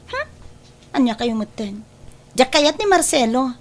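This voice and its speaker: High-pitched headstrong young Ilocano woman, acted by a woman. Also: very animated, a little slow. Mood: defiant, hurt. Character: headstrong young woman in love, stubborn daughter. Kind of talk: Ilocano audio drama acting.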